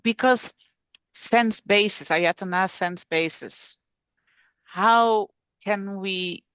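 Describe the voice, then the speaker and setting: A woman's voice: medium pitch, takes it slowly, a bit bright, clean tone, dry recording. A woman student asking a teacher a question, hesitant and searching for words.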